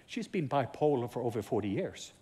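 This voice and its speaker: Norwegian guy preaching in english, kinda husky voice, storytelling sermon that builds up. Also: mid-range voice, big pitch swings, brisk, dark tone. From Norway. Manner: storytelling with emphatic, repeated build-ups. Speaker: pastor. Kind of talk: sermon testimony.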